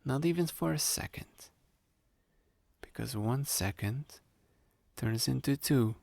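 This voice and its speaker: Sly young male roommate, acted by a man: playful, manipulative hypnotist for ASMR roleplay, creaky voice, close dry mic, unhurried delivery. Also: mid-range voice, darkish tone, no room sound. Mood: sly, teasing. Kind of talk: ASMR roleplay, addressed to the listener. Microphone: close studio-style microphone, dry.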